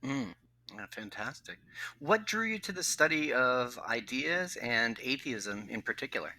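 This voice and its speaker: A man's voice: medium pitch, very animated, a little slow, sharp tone, slight background noise, low-quality recording. A man co-hosting a podcast who reads a formal guest introduction and then chats in a friendly way. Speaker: podcast co-host.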